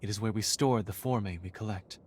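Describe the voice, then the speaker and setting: An actor playing a courteous, refined male leader in a video game, with formal, measured, polite diction. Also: lowish voice, slight background noise, natural voice. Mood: gracious, earnest. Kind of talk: video game cutscene acting.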